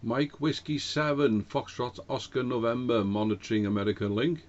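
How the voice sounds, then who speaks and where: A man's voice: medium pitch, steady tone, a little mellow. Man on dull-sounding radio. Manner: hesitant, courteous, pauses often. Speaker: amateur radio operator. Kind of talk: ham radio contact with spelled-out call signs. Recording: dull, band-limited amateur radio audio.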